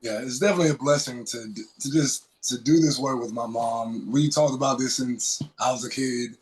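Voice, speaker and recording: A man speaks on a video-call panel in a calm, reflective way. His voice sits at an ordinary middle pitch, and he sounds grateful and steady throughout. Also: a little fast, poor audio. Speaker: guest, nonprofit co-worker.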